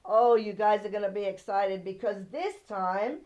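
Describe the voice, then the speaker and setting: A woman's voice: mid-range voice, normal speed, a little mellow. A woman with a non-American English accent hosting a local TV talk show, bubbly and gushing as she interviews a guest and talks to viewers. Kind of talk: chatty TV interview.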